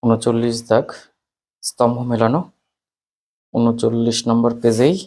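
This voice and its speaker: Male teacher, mid-pitched voice, informal walkthrough for students, repetitive item-by-item announcing. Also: completely flat delivery, a little slow, a little mellow. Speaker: teacher presenting an exam paper to students.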